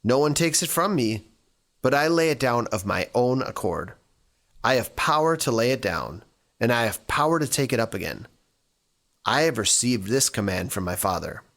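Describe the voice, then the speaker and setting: Man giving an expressive, earnest devotional. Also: mid-range voice, normal speed. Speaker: church staff member giving a devotional. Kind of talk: scripture reading and reflective devotional.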